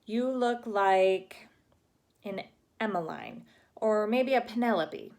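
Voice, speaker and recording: A dramatic, chatty young girl from a storybook who gushes in flowery, theatrical phrases, as a woman reads her. Her voice sits noticeably higher than the reader's own and is bright and eager. Her accent is hard to place. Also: animated, slow pace. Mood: gushing. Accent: unplaceable.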